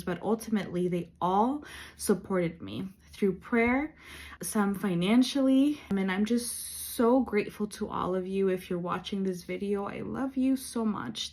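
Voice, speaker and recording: A woman in her late thirties talking in a personal faith vlog, earnest and reflective, with many pauses and fillers. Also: mid-range voice, darkish tone. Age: 30s. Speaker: vlogger.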